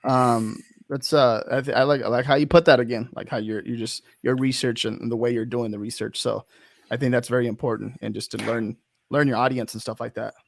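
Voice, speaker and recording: Gravelly man hosting a casual interview. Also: medium pitch, fairly even intonation, brisk, no room sound. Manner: rambling, frequent restarts and fillers. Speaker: host. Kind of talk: casual video-call interview.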